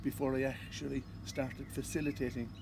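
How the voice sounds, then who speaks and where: A man with an Irish accent, a psychotherapist answering interview questions in a calm, measured way. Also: mid-range voice, creaky, background noise. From Ireland. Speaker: psychotherapist and constellations facilitator.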